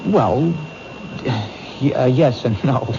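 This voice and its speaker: Earnest, protective policeman, mid-low voice, persistent, vintage radio drama with heavy hiss. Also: very slow. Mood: friendly. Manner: plain-spoken, persistent. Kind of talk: 1970s radio drama acting. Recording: muffled, hissy old radio recording.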